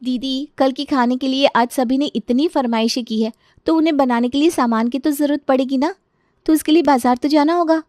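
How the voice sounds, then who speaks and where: A high, dry-recorded young daughter-in-law, played by a woman in a family audio story. She is whiny and exasperated, complaining with dramatic exclamations about household life. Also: brisk, a little mellow, dry recording, lightly acted. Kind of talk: acted Hindi family story dialogue.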